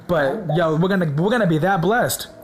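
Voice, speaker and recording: Hoarse, excited man preaching casually. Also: sits high, normal speed, a crowd in the background. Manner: excited, slangy, repeats words for emphasis. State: sore throat, hoarse. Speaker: religious video host.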